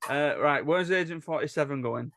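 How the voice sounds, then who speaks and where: A man's voice: a little high, normal speed, a bit bright. A man hosting a casual chat, moving things along with short questions and dry quips. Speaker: podcast host moderating a ranking.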